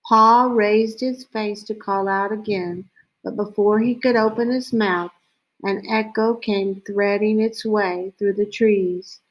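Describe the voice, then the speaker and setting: A woman reading a children's story aloud in a deliberate way, with frequent pauses between short phrases. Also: medium pitch, fairly even intonation, low-quality recording. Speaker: storybook reader.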